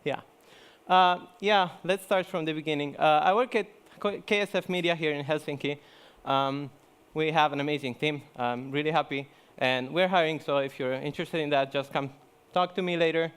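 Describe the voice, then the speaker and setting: A young man speaks to a conference audience in a relaxed, joking way. His voice sits a little high, and he often restarts his sentences and fills pauses with "uh" and "um". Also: clear, resonant tone. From Italy. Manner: chatty, many fillers and restarts. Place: conference hall.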